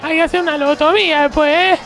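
A woman's voice: sits high, steady tone, fast talker, a bit bright, soft background music, a faint crowd. A woman voicing an odd, stubborn little girl in a radio comedy sketch, blurting and babbling childishly.

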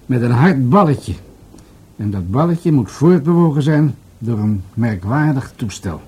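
Older police commissioner, radio play, mellow husky voice, polite, hems and haws. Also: male voice, medium pitch, lively intonation, measured pace. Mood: businesslike. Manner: polite, hesitant, old-fashioned. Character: courteous, unhurried older male police commissioner.

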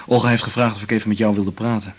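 An actor plays a tactful Dutch man in a naturalistic radio play. The voice is deep and grainy. He speaks carefully and hesitantly, as someone concerned for his partner. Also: level tone, very fast, close to an everyday voice. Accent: Dutch from the Netherlands. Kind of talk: naturalistic 1980s radio drama acting.

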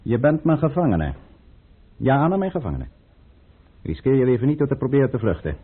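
Radio play count: authoritative schemer, rough husky tone, muffled vintage recording. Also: male voice, mid-range voice, very animated. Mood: stern. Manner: formal, deliberate, authoritative. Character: commanding, coolly calculating count, a conspirator against the tsar. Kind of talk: radio drama acting.

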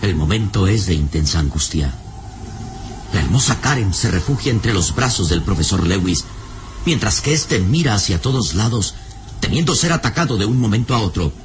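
An actor as a grave, suspenseful narrator, delivering emphatic scripted radio serial narration. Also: male voice, on the low side, big pitch swings, moderate pace, slight background noise.

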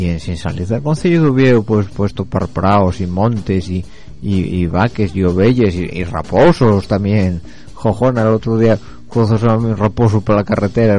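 A man's voice: on the low side, dark tone. A man with an Asturian accent hosting a radio show, rambling in a chatty monologue and talking straight to his listeners. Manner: chatty and rambling, addresses listeners directly, many fillers. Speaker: radio host.

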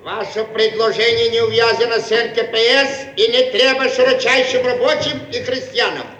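An actor with a Ukrainian accent playing an officious, obstructive Soviet secretary in a theatrical radio play. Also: male voice, very high-pitched, fairly even intonation, normal speed, fairly bright tone, muffled recording, faint music behind. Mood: dismissive. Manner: officious, dismissive. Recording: echoey, faintly noisy archive radio recording.

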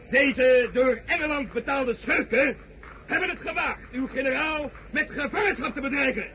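An actor playing an agitated, commanding politician and orator in a theatrical, urgent radio drama style. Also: male voice, very high voice, bright, forceful tone, full character voice. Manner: declamatory, urgent. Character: Napoleon's agitated brother, commanding orator-politician rallying soldiers. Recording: noisy radio drama recording.

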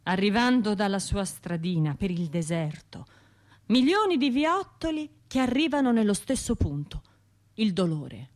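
An actress playing a wounded, bitter bombing survivor in a radio theatre monologue, confiding in colloquial speech. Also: female voice, mid-range voice, very animated, unhurried. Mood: weary, defiant. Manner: colloquial, confiding, wry, shifting between narration and imagined voices.

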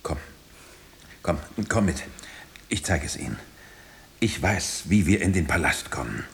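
Radio drama: haunted engineered man, low register, very animated, urgent, fractured phrasing. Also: vocal fry, slight background noise, exaggerated voice. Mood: urgent, beckoning. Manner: halting, insistent, breaking off sentences. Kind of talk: German radio drama acting.

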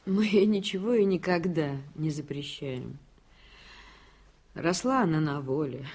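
A woman's voice: low-pitched, a little slow, darkish tone, slightly rough, exaggerated voice. An actress playing an anxious, polite middle-aged mother in a radio drama, speaking earnestly and apologetically. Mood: apologetic worry.

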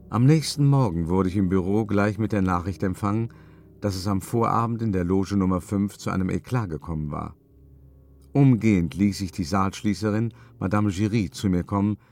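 Skeptical male opera director, lively pitch swings, authoritative, sarcastic, radio drama. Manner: incredulous, authoritative. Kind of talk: German radio play acting with narration.

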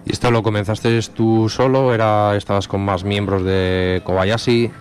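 A man from Spain hosting a local radio show, introducing a song and the guest in a relaxed, rambling way with fillers. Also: fairly low pitch, moderate pace, soft background music. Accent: Spanish. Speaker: radio host.

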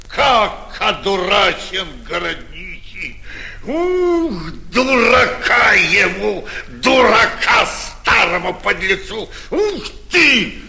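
An actor playing an outraged, humiliated old provincial mayor in a theatrical, exclamatory 1940s Russian stage style. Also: male voice, sits high, vocal fry, pushed and bright, exaggerated voice. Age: older. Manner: theatrical, exclamatory, self-berating. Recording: 1949 theatre radio production.

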